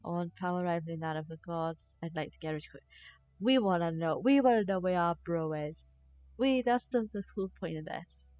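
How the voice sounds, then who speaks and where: A woman's voice: on the low side, moderate pace, darkish tone, no room sound, highs cut off, faint music behind. A woman giving casual, jokey live commentary as she plays a game, reacting aloud to it. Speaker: game streamer.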